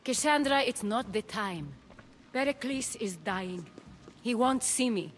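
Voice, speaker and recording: A woman's voice: fairly high pitch, animated, a little slow, faint music behind. An actress voicing a weary, anxious Athenian noblewoman in a video game, sounding tense and worried. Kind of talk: video game cutscene acting.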